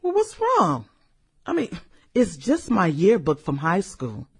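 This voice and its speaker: A woman playing a brash, flirty, fun-loving businesswoman in a satirical radio drama, with sassy, slangy delivery and drawn-out interjections. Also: fairly low pitch, huge pitch swings, a crowd in the background, exaggerated voice. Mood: curious, probing. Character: brash, flirty, fun-loving high-powered businesswoman in her late thirties.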